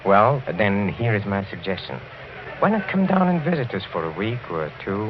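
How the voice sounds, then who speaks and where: An actor playing a suave, menacing older European count with mocking, deliberate, ornate speech in an old-time radio drama. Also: male voice, lowish voice, sing-song, background noise. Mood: smoothly sinister. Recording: dull old radio recording with music.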